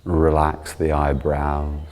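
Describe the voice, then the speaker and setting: A man calmly guiding a meditation, soothing and measured, with long pauses between short instructions. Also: extremely low pitch, big-room sound. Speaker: yoga and meditation teacher.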